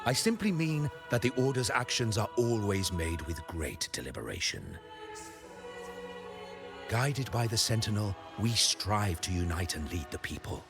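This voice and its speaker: Pious game priest, slow n preachy, gravelly edge, music behind him. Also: male voice, lowish voice, very animated. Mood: grave, assured. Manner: formal, measured, preachy. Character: pious, lofty high-ranking male cleric of a religious order. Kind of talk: theatrical video game voice acting.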